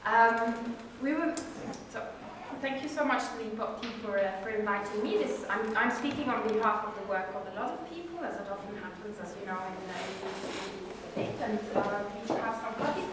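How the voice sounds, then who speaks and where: A woman's voice: a little high, fairly quick, few pauses. Chatty woman speaking from far off. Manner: chatty, hesitant with restarts and small laughs. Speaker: guest speaker presenting a book. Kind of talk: informal talk to a small audience. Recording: echoey room, distant mic, noisy.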